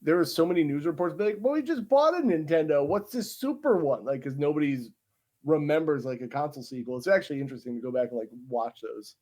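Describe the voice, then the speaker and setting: A man's voice: fairly high pitch, animated, fast, warm tone. A man co-hosting a casual podcast, rambling with lots of filler words. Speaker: podcast co-host. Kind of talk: casual chat.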